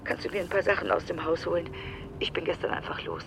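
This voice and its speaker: An actress playing a distressed, worn-out, breathy wife on a phone call in a German radio drama. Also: female voice, on the low side, big pitch swings, fast, background noise. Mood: distraught. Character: shaken, worn-out wife of an injured man.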